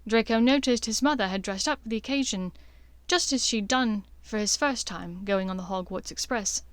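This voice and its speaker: A woman's voice: mid-range voice, normal speed, clear, resonant tone, no echo. A woman reading scripted fiction as an audiobook narrator.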